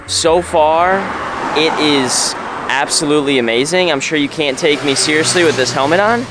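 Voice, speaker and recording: A man's voice: a little high, normal speed, background noise. A young man talking to a handheld camera at arm's length outdoors, upbeat and enthusiastic as he walks and guides his travel vlog. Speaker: travel vlogger. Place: outdoors on roads and jungle paths. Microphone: handheld selfie camera at arm's length.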